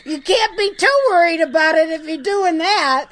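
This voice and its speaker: A woman's voice: very high voice, moderate pace, a bit bright. An American woman in her late seventies chatting casually as a podcast co-host. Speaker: podcast co-host, pet groomer. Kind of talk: casual chat.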